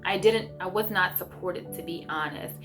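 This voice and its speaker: A woman's voice: on the low side, normal speed, over music. A woman chatting casually to her viewers in an effusive, encouraging way, as the host of a homeschooling vlog.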